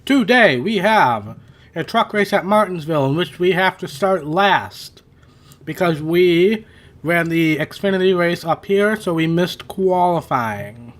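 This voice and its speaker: A man's voice: high-pitched, animated, measured pace. A man hosting a racing game playthrough in casual, rambling commentary, his voice worn from hours of talking. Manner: rambling, self-correcting, chatty. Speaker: gaming channel host.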